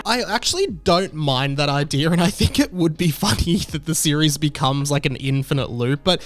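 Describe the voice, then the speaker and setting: A man's voice: on the high side, lively intonation, moderate pace, slight background noise. A man hosting a video, giving casual, conversational commentary. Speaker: YouTube host and reviewer.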